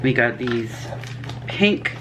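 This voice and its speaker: A woman's voice: a bass voice, animated, takes it slowly, noisy background. A woman chatting through a beauty box unboxing, sniffling a little and reading out product names and prices. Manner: chatty, reads prices and labels. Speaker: beauty vlogger. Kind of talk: casual unboxing chat.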